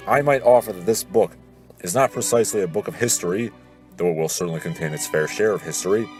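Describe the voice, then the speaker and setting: A man narrating an audiobook in a pompous, theatrical manner with grandiose diction. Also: on the low side. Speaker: audiobook narrator, video host. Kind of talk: scripted audiobook reading with introduction. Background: classical orchestral music.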